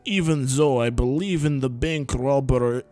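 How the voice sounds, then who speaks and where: A young man streaming, reading visual novel narration aloud in a laid-back, deadpan way. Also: medium pitch, a little slow, faint music behind.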